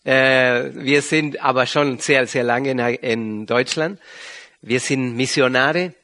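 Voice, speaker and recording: A middle-aged man with an Argentine accent and native Spanish, speaking haltingly to a congregation as a visiting pastor. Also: medium pitch, pushed and bright. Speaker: visiting pastor and regional church leader. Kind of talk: sermon introduction to a congregation.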